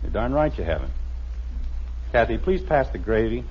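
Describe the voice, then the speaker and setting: A man's voice: on the low side, sing-song, normal speed, slight background noise. An American man playing a dry, exasperated middle-aged suburban father in a 1950s radio comedy. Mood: defensive. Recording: muffled old radio broadcast with music.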